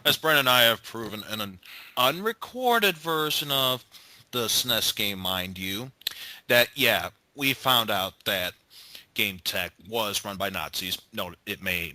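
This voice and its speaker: A man's voice: on the low side, animated, unhurried, pushed and bright, no room sound, poor audio. A man chatting casually with friends and telling gaming anecdotes. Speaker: member of a gaming group.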